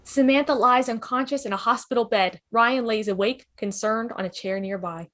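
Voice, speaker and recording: A woman's voice: fairly high pitch, moderate pace, a bit bright. A woman reading stage directions as scripted narration in a fan table read.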